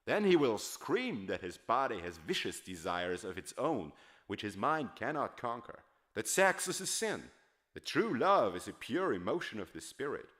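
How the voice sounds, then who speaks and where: A man's voice: mid-range voice, big pitch swings, moderate pace, a bit bright. A man giving a dramatic, scripted audiobook reading of a character's monologue in an emphatic, oratorical manner. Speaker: literary reader performing a novel character's monologue.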